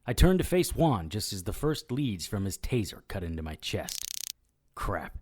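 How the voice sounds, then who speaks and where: An actor playing a wisecracking, sardonic immortal detective in a noir audio drama, narrating and trading dialogue. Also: male voice, fairly low pitch, sing-song, moderate pace, darkish tone, breathy edge. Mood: wry, tense. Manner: wry, sarcastic. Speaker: lead character and narrator.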